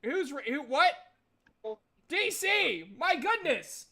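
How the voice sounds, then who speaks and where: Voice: high voice, sing-song, slow pace, vocal fry, pushed and bright. A game master hosting a livestream, chatting casually and recapping a tabletop game in an informal, sweary way. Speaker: game master and stream host.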